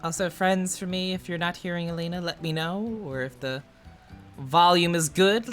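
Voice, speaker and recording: A man streaming a game live, chatting casually, excitable and laughing often, loud when he gets excited. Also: sits high, normal speed, faint music behind. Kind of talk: casual livestream chat while gaming.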